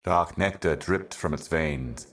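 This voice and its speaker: A man voicing an ancient, weary otherworldly envoy in a video game, delivering cryptic poetic monologues in a grave, brooding, measured manner. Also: extremely low pitch, a bit bright, full character voice. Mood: dark, ominous. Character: ancient solemn otherworldly envoy, weary eternal servant of cosmic darkness.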